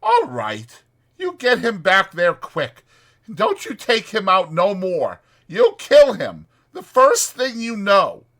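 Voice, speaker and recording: A man voicing an exasperated but protective ranch hand in a dramatized audiobook, blunt and scolding, with a rough folksy drawl. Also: high voice, wildly swooping pitch, slow pace, a bit bright, voice pushed up, exaggerated voice. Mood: scolding, firm. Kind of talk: dramatized audiobook character acting.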